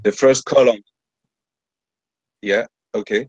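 A man's voice: lowish voice, fairly even intonation, slow, slightly rough, dry recording, dull audio. A man with a French accent, whose first language is French, hesitantly describing hieroglyphs in an online class, searching for words with many restarts. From France. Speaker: class member.

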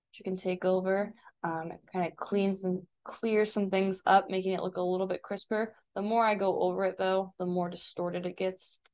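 Casual American woman giving a tutorial. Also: medium pitch. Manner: informal, instructional, talking through each action as it is done. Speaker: instructor giving a software tutorial. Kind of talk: casual step-by-step screen demonstration.

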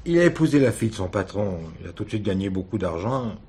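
An actor playing a wounded, weary husband suspected of murder, halting and defensive, in a French radio crime drama. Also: male voice, fairly low pitch, animated, dark tone, husky. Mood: bitter, hurt. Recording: noisy old radio drama recording.

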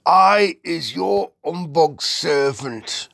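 A storybook reader voicing a friendly, childlike giant who speaks in effusive, halting, ungrammatical bursts. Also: mid-range voice, lively intonation, gravelly, fairly bright tone, dry recording, clean studio sound, voice pushed up, heavily acted. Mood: reverent. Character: friendly giant, eager, reverent, childlike, muddling his words.

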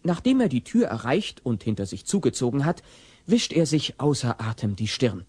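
A man's voice: mid-range voice, sing-song, moderate pace, a little mellow, husky, dry recording. A man narrating an audio drama from a script, as a storyteller between scenes.

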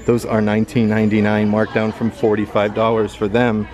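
A man's voice: on the low side, completely flat delivery, fairly quick, a little mellow, lo-fi sound. An American man giving an upbeat, enthusiastic, casual running narration as a shopping vlogger. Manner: upbeat, enthusiastic showing-and-telling. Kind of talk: casual vlog narration of store items. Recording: music behind the voice. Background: background music.

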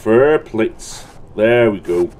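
A man's voice: lowish voice, expressive, slow, darkish tone. A man giving unscripted game commentary, muttering to himself and reading item names aloud. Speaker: gamer recording a let's play.

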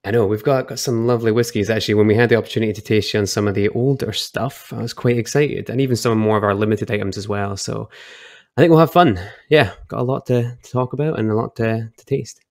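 A young man from Edinburgh with a Scottish accent, chatting and telling stories as a podcast guest over a video call, relaxed and quick to laugh. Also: fairly low pitch, no room sound, clean studio sound. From Scotland, Edinburgh. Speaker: guest, whisky brand ambassador. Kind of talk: casual storytelling chat.

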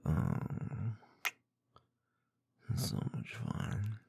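A man performing intimate ASMR roleplay in a soft, breathy, gravelly murmur close to the microphone, with a teasing manner. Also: slight background noise. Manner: soft, teasing, slow murmuring with small chuckles and hums. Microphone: close binaural microphone.

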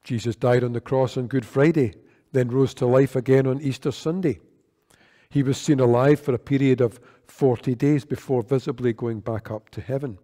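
An older man delivers a sermon at a church lectern, earnest and measured in his delivery. His voice is mellow and dark, and a long reverb trails off the sanctuary walls behind each phrase. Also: mid-range voice. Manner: measured, earnest preaching with gestures. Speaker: worship leader. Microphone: lectern microphone.

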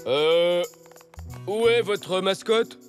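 An actor voicing an enthusiastic zebra sports announcer in a children's cartoon, ceremonious, with music underneath. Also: male voice, sits high, very slow. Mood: announcing.

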